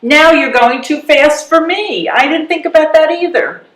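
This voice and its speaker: A woman's voice: high voice, normal speed, fairly bright tone. A woman playing a blunt, wry and determined elderly widow in a readers theatre comedy, full of pointed questions. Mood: teasing. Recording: echoey live room recording.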